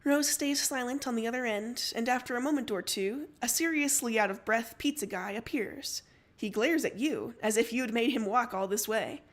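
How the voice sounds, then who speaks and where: A woman narrates fiction aloud for a livestream. Her voice sits a little high, and she reads in an expressive storytelling style. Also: normal speed.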